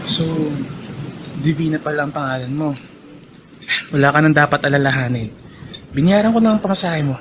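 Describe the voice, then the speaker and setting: A man playing a kindly, easygoing plantation gentleman in a radio drama, friendly and reassuring, dropping in bits of English. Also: a little high, brisk, long pauses, music in the background, lightly acted. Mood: kindly, insistent. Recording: noisy radio drama track with ambience.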